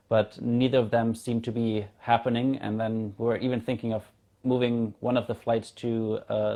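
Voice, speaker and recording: A man's voice: medium pitch, mellow. A man in his thirties from Germany, a native German speaker, chatting casually as an interview guest. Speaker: interview guest, community founder. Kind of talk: casual video-call conversation.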